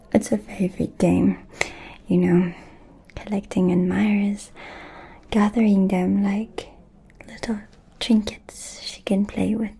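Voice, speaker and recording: Woman acting as an ancient vampire lady, asmr roleplay, breathy mellow whisper, super slow n seductive, kinda menacing. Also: medium pitch, heavily acted. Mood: amused, conspiratorial. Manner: slow, deliberate, pausing, intimate.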